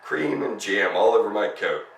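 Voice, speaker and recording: A man voicing a gruff, bitter taxi driver in a storybook, growling and grumbling. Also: lowish voice, animated, normal speed, reverberant, far from the mic, slight background noise.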